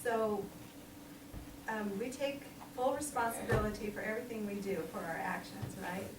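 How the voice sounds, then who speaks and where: A woman's voice: medium pitch, steady tone, moderate pace. A woman of sixty giving an informal sermon in a small church, chatty and laughing often, with many ums. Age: 60s. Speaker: lay preacher. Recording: echoey room, distant mic, noisy.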